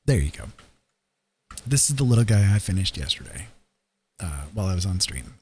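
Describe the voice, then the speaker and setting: A man hosting a livestream, chatting casually in a halting, rambling way. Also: low voice, big pitch swings, a little mellow, husky. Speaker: livestream host.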